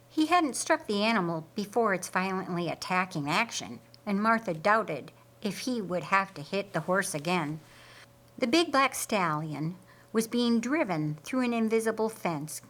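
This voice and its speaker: A woman reading an audiobook story in a deliberate, theatrical way. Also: medium pitch, fairly bright tone. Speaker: audiobook narrator. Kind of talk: dramatic scripted storytelling narration.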